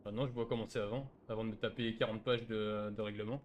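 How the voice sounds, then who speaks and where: A man's voice: lowish voice, flat delivery, fast talker, highs cut off, a little hiss or hum. A man arguing casually as a player in an online role-play chat, insistent and protesting.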